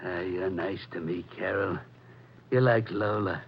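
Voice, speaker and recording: Huge simple lonely dude, halting n dazed, deepish voice, dull old radio drama sound. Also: male voice, creaky. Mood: sad, childlike. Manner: halting, repetitive, simple phrasing. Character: huge, slow-witted, lonely, childlike man, dazed and wounded. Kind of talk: 1940s radio drama acting. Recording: dull, noisy 1940s radio recording.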